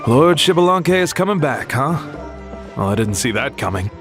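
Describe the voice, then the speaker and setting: An actor playing an excitable, imaginative young man in a game, talking in an eager, speculative way. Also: medium pitch, normal speed, music in the background, lightly acted. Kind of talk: game voice acting.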